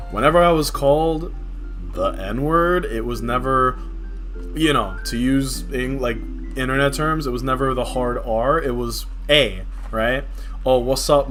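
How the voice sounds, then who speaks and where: A man's voice: mid-range voice, a bit bright. A young man from New City, talking casually and candidly in slangy, conversational livestream chat. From New York City. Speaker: game livestreamer. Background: music.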